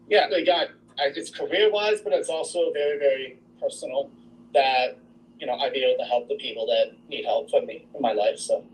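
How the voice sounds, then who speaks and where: A man's voice: medium pitch, far from the mic, slight background noise, poor audio. A man in an online workshop earnestly explaining why he wants to communicate better. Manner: earnest, thinks aloud with fillers. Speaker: workshop participant. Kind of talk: casual personal sharing.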